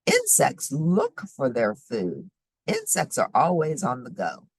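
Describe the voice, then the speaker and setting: A woman reading a children's nonfiction book aloud in a deliberate, explanatory way, emphasizing key words. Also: extremely low pitch, wildly swooping pitch, breathy edge, no echo. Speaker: host reading children's science books aloud.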